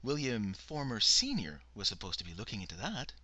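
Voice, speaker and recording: A man's voice: fairly low pitch, big pitch swings, a little fast, pushed and bright, full character voice. A man voicing a pushy, fussy woman secretary in a raised voice. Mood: bossy.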